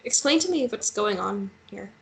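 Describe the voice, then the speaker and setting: A woman acting as an injured young woman in love, bold and teasing. She speaks naturally and playfully, as in a fantasy romance drama read aloud. There is clear noise behind her voice. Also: mid-range voice, animated, moderate pace, poor audio. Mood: frank. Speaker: actor at a table read.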